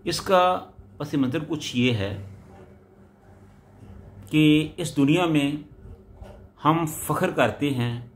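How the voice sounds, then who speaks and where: Slow, measured male preacher. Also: on the high side. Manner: slow, measured delivery in short phrases, rising for emphasis; recites Arabic verse before explaining it. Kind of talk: sermon explaining a Quran verse.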